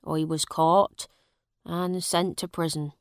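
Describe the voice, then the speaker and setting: A woman's voice: lowish voice, little pitch movement, no room sound, clean studio sound. Woman voicing a grieving poor farmer. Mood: sorrowful. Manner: slow, heavy storytelling. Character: poor grieving male farmer telling his sad life.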